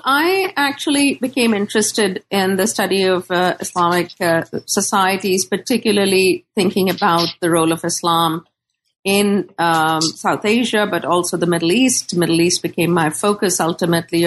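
A middle-aged woman from Pakistan speaking as a scholar in an interview, thoughtful and deliberate, often pausing to search for words. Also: on the low side, slight background noise. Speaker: scholar and author being interviewed. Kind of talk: thoughtful academic interview talk.

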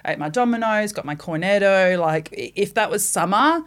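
A woman's voice: medium pitch, expressive, brisk. Chatty young woman podcast co-host. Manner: chatty, teasing, frank. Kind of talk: casual chat.

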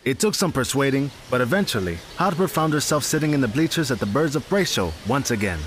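A man giving scripted narration for an audio drama in an even storytelling manner. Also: mid-range voice, fast, a little hiss or hum.

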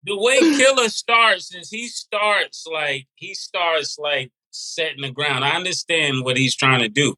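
Bright-voiced man asking pointed questions. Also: on the high side, measured pace, hardly any pauses, poor audio. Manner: probing, asks pointed questions. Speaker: podcast co-host. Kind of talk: casual podcast conversation.

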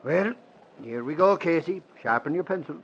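Actor as a high-voiced blustery newsman. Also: animated, moderate pace, slight background noise, close to an everyday voice. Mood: chatty, skeptical. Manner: blustery, folksy. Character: blustering Irish-American newspaper reporter, hot-tempered man of action. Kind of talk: 1940s radio acting. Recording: muffled old radio recording, crowd behind.

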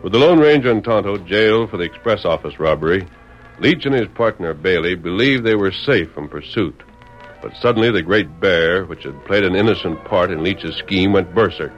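A man's voice: deep voice, animated, normal speed, soft background music. A man with an American accent narrating an old-time radio western from a script. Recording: dull vintage radio recording.

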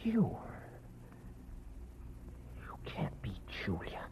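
A man's voice: very slow, whispered. An actor playing a distraught young man who defends his dead brother, in a 1940s radio drama. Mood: broken. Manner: desperate, threatening, breaking down. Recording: muffled old radio broadcast with long reverb.

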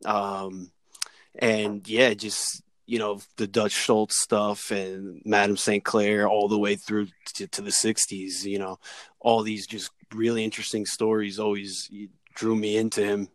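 A man on a remote call chatting as a guest, telling stories in a measured, hesitant way with many fillers. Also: on the low side, little pitch movement, no room sound. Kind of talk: casual podcast chat.

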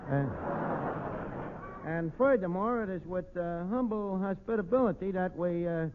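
An actor playing a brash tavern manager, slangy and stumbling into pompous malapropisms, in old radio comedy. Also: male voice, high voice, moderate pace, close to an everyday voice. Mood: cocky. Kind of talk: 1940s radio comedy acting. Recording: noisy, phone-like old radio recording.

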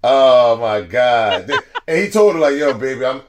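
A man in his forties, a podcast guest, telling stories in a casual, slangy, animated way and laughing as he goes. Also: mid-range voice, moderate pace, slight background noise. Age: 40s. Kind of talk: casual storytelling chat.

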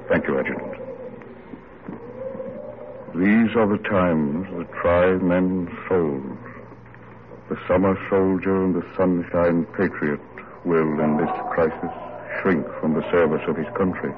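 An actor playing a weary, dignified army general with grave, stately delivery in old-time radio drama. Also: male voice, low-pitched, slow pace, pauses often. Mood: solemn. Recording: tinny, noisy vintage radio recording.

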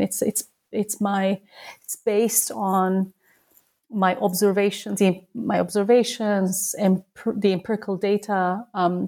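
A woman in her forties from Turkey speaks in a mellow, gravelly voice. She answers interview questions as a human rights lawyer, pausing often and restarting her sentences as she thinks aloud. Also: mid-range voice. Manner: thoughtful, many fillers and restarts.

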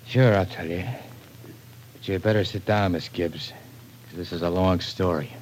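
An actor playing a surly, sardonic American small-time crook in an old-time radio crime drama, talking in tough slang. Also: male voice, sits low, steady tone, moderate pace, darkish tone, natural voice. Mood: gruff, guarded. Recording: noisy vintage radio recording.

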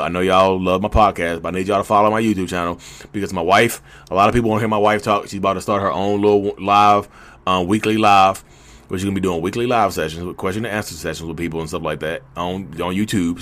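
A man's voice: deep voice, a bit bright. Emphatic man talking to listeners. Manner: emphatic, repeats himself, addresses listeners as y'all. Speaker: podcast host. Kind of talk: casual motivational monologue.

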